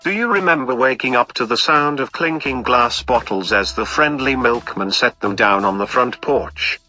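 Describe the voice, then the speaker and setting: Man, mid-range pitch, crisp forceful tone, scripted documentary radio narration, light music behind. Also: normal speed.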